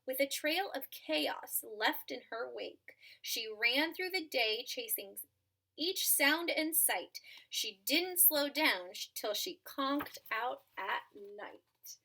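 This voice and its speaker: A woman's voice: high-pitched, bright, forceful tone. A woman teacher reading a children's storybook aloud expressively, with asides spoken directly to young listeners.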